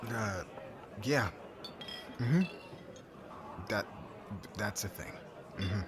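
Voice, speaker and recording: A man playing a brash, lovelorn young warrior groom in an ASMR audio drama, halting and informal, sounding exasperated. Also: fairly low pitch, a crowd in the background, background noise. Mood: dejected, pleading.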